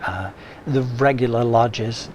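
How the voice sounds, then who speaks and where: Man, mid-range voice, slow and halting interview guest, faint hiss behind. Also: mid-range voice, a little mellow. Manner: slow, halting, with frequent uhs. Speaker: guest, lodge member. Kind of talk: casual interview.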